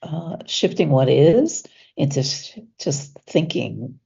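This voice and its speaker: Low, gravelly woman sounding stuffy. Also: expressive, mellow, muffled recording. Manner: chatty, breathy laughs, fillers, slows down to stress words. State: stuffy from allergies. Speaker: guest coach. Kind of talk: casual interview conversation.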